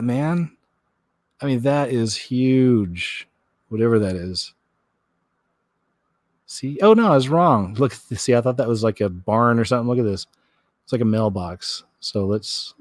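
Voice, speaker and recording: A man's voice: medium pitch, a little slow, pauses often, a little mellow, no echo. A man hosting a vlog, commenting on clips and news in a casual, folksy, rambling way. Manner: folksy, rambling, repeats himself.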